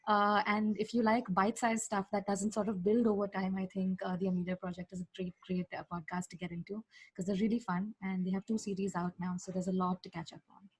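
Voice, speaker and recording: Female podcast guest, informal and effusive, slightly rough-edged husky tone, very dry recording. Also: mid-range voice, flat delivery, fast, no echo, poor audio. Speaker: guest, fiction podcast creator. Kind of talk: casual enthusiastic recommendation chat.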